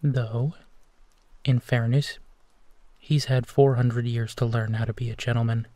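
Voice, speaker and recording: A man playing a gentle, protective werewolf who tenderly reassures his partner in an intimate bedtime roleplay, leaving long pauses. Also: mid-range voice, flat delivery, no echo, lightly acted. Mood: gently wry. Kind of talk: intimate roleplay audio, soft bedtime comfort.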